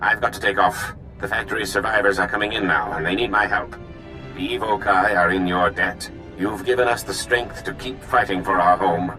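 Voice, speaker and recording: A man's voice: fairly low pitch, steady tone, moderate pace, natural voice. A man playing an earnest resistance leader in a video game, speaking with pressing, sincere authority. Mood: relieved, grateful. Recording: music behind the voice.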